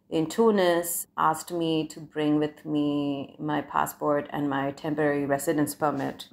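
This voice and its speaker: A young woman from India, a doctoral scholar, recounting a personal experience in a reflective, measured way with frequent restarts. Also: deep voice, creaky, a little mellow.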